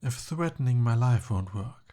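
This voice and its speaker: A man's voice: mid-range voice, animated, normal speed, mellow, natural voice. A man playing a pompous young human prince in an ASMR roleplay, speaking formally but flustered and pleading. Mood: indignant.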